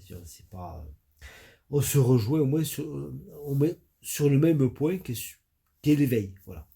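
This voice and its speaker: A man speaks in a deep, very mellow voice, his nose stuffed up from a cold. He talks haltingly as a spiritual coach, with many fillers and restarts. His pitch sits in the middle range for a man. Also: creaky. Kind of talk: hesitant spoken audio monologue.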